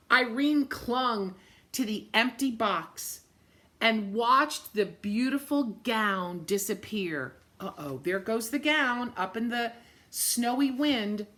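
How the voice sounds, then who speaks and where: A woman reads a children's picture book aloud. Her voice is fairly high and bright, and she goes slowly with deliberate, dramatic phrasing, speaking to young listeners. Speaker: storybook reader.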